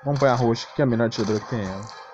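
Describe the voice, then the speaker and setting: A Brazilian man comments live on his game with a mid-pitched voice. He talks quickly and casually, in a slangy and slightly grumbling way, with clearly audible music behind him. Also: muffled recording. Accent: Brazilian Portuguese. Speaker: gaming streamer.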